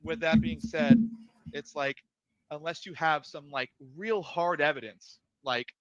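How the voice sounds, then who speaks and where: A man with a soft voice, defending himself on a live stream, hesitant with many fillers and restarts. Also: fairly high pitch, lively intonation, unhurried, no room sound, dull audio. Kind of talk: casual live stream debate.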